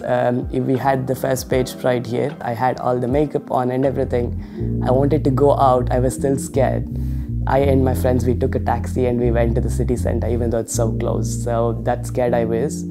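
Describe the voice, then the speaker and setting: A man in his twenties from Bangalore with an Indian accent, speaking in a steady, matter-of-fact way in a documentary interview. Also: medium pitch. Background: clear guitar music.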